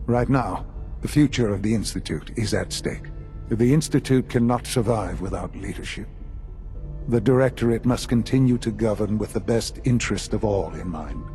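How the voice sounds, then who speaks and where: A man plays an older, authoritative head of a secretive institute in a game drama. The voice is low and mellow, delivered in measured, formal phrases with deliberate pauses, over faint music. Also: close to an everyday voice. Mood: grave, solemn. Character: grave older male leader of a secretive science institute, dying.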